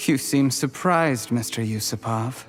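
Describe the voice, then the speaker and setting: An actor playing a cold, ambitious, scheming official in a video game, speaking with smug, calculating and measured menace. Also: male voice, mid-range voice, faint music behind, close to an everyday voice. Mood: cold, bitter. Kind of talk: dramatic video game voice acting.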